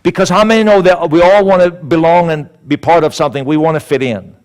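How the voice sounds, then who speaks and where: Swedish guy preaching, tells a story and acts out all the lines, kinda high voice. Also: quick pace. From Sweden. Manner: animated, acts out dialogue, repeats words. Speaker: speaker. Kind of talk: storytelling sermon.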